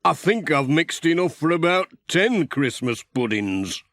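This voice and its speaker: A man's voice: fairly high pitch, sing-song, measured pace, fairly bright tone, no room sound, voice pushed up, heavily acted. A man voicing a cheerful, eager and insistent village handyman in a children's storybook.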